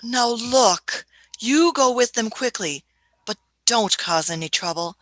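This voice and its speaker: A woman's voice: on the high side, expressive, takes it slowly, a bit bright, no room sound. A woman playing an eccentric, authoritative scientist-adventurer in a radio play, fussy and stammering, with a condescending manner. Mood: reassuring.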